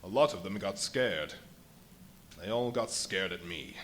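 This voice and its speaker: A man acts out an invisible fugitive scientist in a live radio drama. He is imperious, exhausted and irritable, with very mobile pitch and theatrical exclamations. Faint noise sits behind the voice. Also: lively intonation, measured pace, a bit bright. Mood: irritable, angry. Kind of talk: live radio play acting. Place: live performance at a brewery venue.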